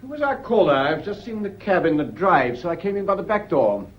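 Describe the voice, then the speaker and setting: An actor playing the curt, secretive master of a British house in a radio drama, authoritative and clipped. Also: male voice, sits high, big pitch swings, a little fast, lo-fi sound.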